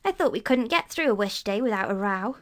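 A woman's voice: medium pitch, lively intonation, brisk, fairly bright tone, close to an everyday voice. A woman voicing a jaunty, easygoing older boy with a teasing manner in a children's storybook.

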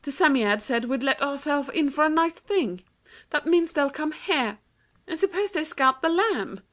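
A woman doing an urgent, excitable girl. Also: high-pitched, normal speed, pitched above the performer's own voice. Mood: anxious. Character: anxious, bossy, quick-witted young girl. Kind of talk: storybook reading.

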